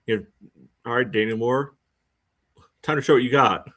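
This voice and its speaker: A man co-hosting a sports podcast, giving casual soccer analysis in loose, halting, conversational phrases. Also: medium pitch, a bit bright.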